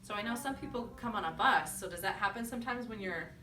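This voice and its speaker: A woman with a bright voice reads a children's picture book aloud as a teacher would. She pauses to ask encouraging questions and echo answers. The recording is roomy, picked up from a distance, with faint noise behind her. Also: medium pitch. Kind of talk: storybook read-aloud with conversational questions to children. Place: echoey indoor room with children. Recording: echoey room, distant mic, faint noise.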